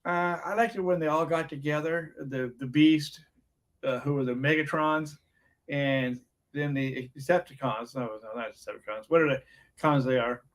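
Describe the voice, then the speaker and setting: Man, soft-spoken, quiet voice, fairly high pitch, podcast co-host. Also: normal speed, poor audio. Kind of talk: casual review chat.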